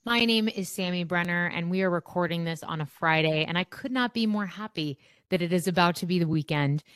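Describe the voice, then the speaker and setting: A woman's voice: fairly low pitch, fairly quick, clear, resonant tone, dry recording, studio quality. A woman hosting a podcast in an upbeat, conversational presenting style. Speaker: podcast host.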